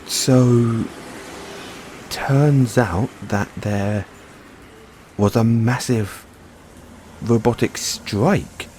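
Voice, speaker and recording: A man playing an excitable, affectionate mad scientist boyfriend in an intimate audio roleplay, musing haltingly to himself and his partner. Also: medium pitch, a little hiss or hum. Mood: amused, musing. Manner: musing, halting, chuckling asides, drawn-out reactions.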